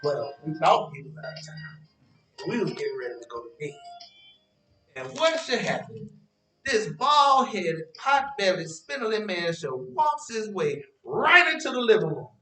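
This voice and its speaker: An actor in a stage play as a teasing, boastful ladies' man who spins his tale with drawn-out drama and stammering hesitations. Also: high-pitched, wildly swooping pitch, pushed and bright, exaggerated voice. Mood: gleeful storytelling. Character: teasing, evasive storyteller named Henry, boastful ladies' man. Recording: roomy, distant stage recording with music.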